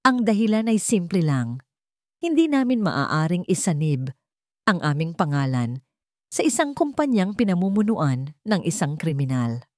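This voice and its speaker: A woman with a Filipino accent voicing a determined male chief executive with calm, deliberate weight in an audio storybook drama. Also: on the low side, no echo, clean studio sound. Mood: calm, resolute.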